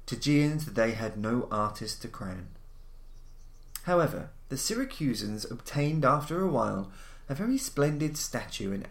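A man reading a literary horror story as scripted narration, in a measured, formal storytelling manner. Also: mid-range voice, gravelly. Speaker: audiobook-style narrator of classic horror stories. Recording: roomy, echoing voice recording.

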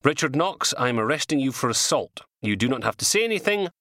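A weary police detective in a crime audiobook , voiced by a man. He speaks bluntly and officially, with dry understatement and a lively pitch contour. The recording is close and free of room sound. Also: fast, no room sound. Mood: firm, weary. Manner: matter-of-fact, official, blunt.

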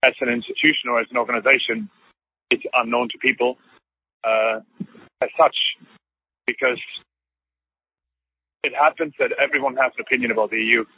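A man's voice: mid-range voice, animated, fairly quick, vocal fry, pauses often, breathy edge, no room sound. A man, a law researcher, answering interview questions on a phone call in a thoughtful way, with many fillers.

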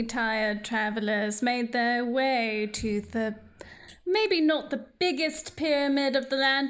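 A woman narrates a comic radio play in mock-storybook style. Her voice is high and smooth, and she takes her time, wandering into wry asides. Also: fairly bright tone.

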